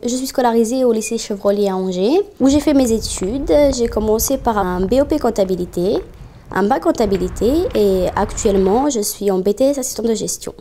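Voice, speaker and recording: A young woman in her twenties, originally from Bosnia, speaks in a documentary interview. Her voice is mellow and soft-edged. She talks earnestly, pausing often and filling the gaps with small hesitations. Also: a little high, slight background noise. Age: 20s. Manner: earnest, with frequent pauses and fillers. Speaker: student intern.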